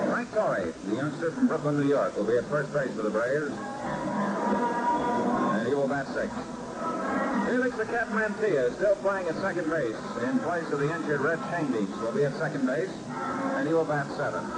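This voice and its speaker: An American man calling baseball in formal, measured 1950s radio commentary style, with florid phrasing. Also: mid-range voice. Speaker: baseball radio play-by-play announcer. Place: baseball stadium broadcast. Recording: noisy vintage 1950s radio broadcast. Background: loud noise and ambience.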